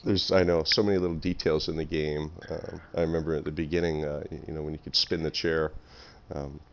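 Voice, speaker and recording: A man hosting a casual interview, effusive and quick to giggle, with many ums. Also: a bass voice, level tone. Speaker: podcast host.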